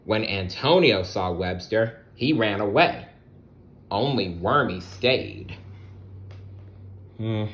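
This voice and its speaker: A man reading a children's story aloud as storyteller, expressive, with conversational asides to the listener. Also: lowish voice, slow pace, long pauses.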